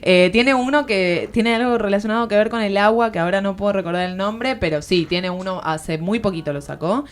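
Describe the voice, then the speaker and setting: A woman's voice: medium pitch, brisk, hardly any pauses, a little hiss or hum. A woman with a Rioplatense Argentine accent talking books casually as a radio columnist, informal and opinionated. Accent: Rioplatense Argentine Spanish. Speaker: literary columnist on a radio show.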